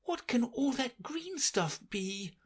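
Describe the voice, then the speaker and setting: A woman's voice: on the high side, very animated, slow, breathy edge, voice pushed up, exaggerated voice. A woman voicing a polite, puzzled little girl who protests earnestly.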